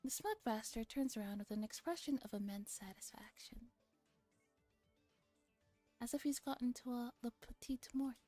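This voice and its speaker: A woman streamer reading a visual novel aloud in a soft, relaxed ASMR style, sometimes slipping into a whisper, with casual chat. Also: on the high side, moderate pace, long pauses. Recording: music playing behind the voice. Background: music.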